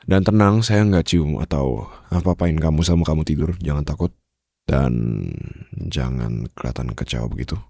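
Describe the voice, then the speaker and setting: A man playing a smitten, suave mafia boss in an intimate ASMR romantic roleplay, teasing softly and possessively close to the microphone in a low, gravelly, breathy voice. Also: no room sound, heavily acted. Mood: tender, reassuring. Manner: slow, soft, flirtatious teasing with formal wording. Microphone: close studio microphone.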